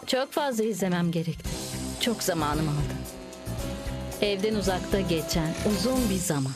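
Cartoon insect heroine voiced by a woman, film-noir detective narration, mock-serious and eager, clear music underneath. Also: lowish voice, animated, moderate pace, close to an everyday voice. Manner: dramatic, mock-serious storytelling. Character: eager young female insect playing hard-boiled film-noir detective.

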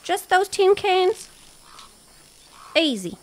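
An actress playing a confident rancher and former sharpshooter in a radio drama. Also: female voice, very high-pitched, sing-song, very slow, a little hiss or hum, full character voice. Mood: breezy. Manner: breezy, confident.

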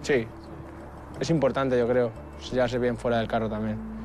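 A man's voice: mid-range voice, little pitch movement, normal speed, darkish tone, faint music behind. A young man, a soldier who works as a tank gunner, answering interview questions. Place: outdoors under an open tank hangar. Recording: clear outdoor background noise.

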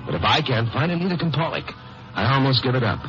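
An actor playing a wry, wisecracking lawyer-sleuth in a tongue-in-cheek 1950s radio crime drama. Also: male voice, medium pitch, sing-song, fast, natural voice. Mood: wry narration. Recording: muffled old radio broadcast, music behind.

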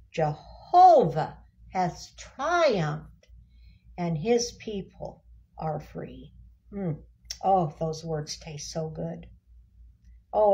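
An older woman giving a devotional reading with commentary, unhurried and emphatic, savoring and repeating words. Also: mid-range voice, darkish tone, muffled recording. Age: older, about 70s. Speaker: devotional reader and Bible teacher. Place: small indoor room, seated.

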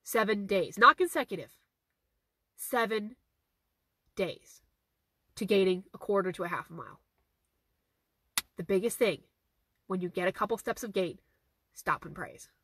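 A woman in her thirties, a horse trainer with a hoarse, fading voice, answering viewer questions in a casual live stream from her car, phone held at arm's length, repeating her key points earnestly. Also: medium pitch, lively intonation, a little slow, long pauses, pushed and bright, no echo. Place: inside a parked car. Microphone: phone camera at arm's length.

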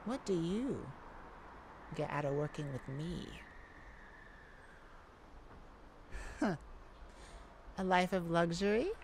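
A woman's voice: on the low side, lively intonation, unhurried, a little mellow, a little hiss or hum. A woman playing a cocky, flirty female cat burglar in an ASMR roleplay, with a teasing, sassy, theatrical delivery. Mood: coy, musing.

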